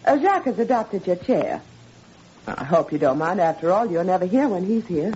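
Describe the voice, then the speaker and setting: An actress playing an anxious, gentle mother and wife who tries to keep peace, in 1940s radio style. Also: lowish voice, animated, close to an everyday voice. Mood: uneasy, soothing. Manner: fretful, hurried, soothing. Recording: muffled, noisy 1940s radio recording.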